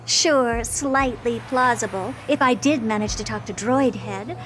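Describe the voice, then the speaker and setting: A woman's voice: a little high, measured pace, a bit bright, a little hiss or hum, rough recording. A woman voicing a haughty genius scientist in a video game, who talks bluntly and dismissively. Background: game music.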